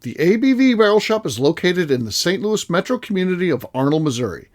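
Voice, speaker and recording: Lively joking man co-hosting a podcast. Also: high-pitched, quick pace. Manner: lively, joking, reads sponsor ads. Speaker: podcast co-host. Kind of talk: casual chat.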